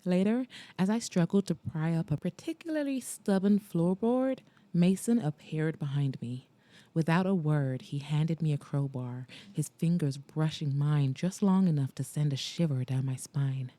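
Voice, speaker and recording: A woman reads a romance novel aloud with a measured, intimate delivery. Her voice is low and mellow, with a dark warmth. The recording is dry and close, with no room sound around it. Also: no echo. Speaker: audiobook narrator. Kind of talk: scripted romance audiobook narration.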